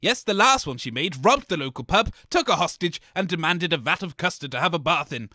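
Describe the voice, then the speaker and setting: A man's voice: fairly high pitch, lively intonation, fairly quick, sharp tone, no room sound, clean studio sound. A man co-hosting a comedy radio show with showy game show announcer patter.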